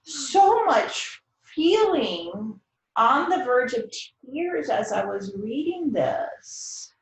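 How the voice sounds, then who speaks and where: A woman's voice: high voice, very animated, drawn-out words. A woman speaking earnestly and a little hesitantly in reflective conversation, with an echoey, roomy sound. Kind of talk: reflective conversation, quoting from a reading.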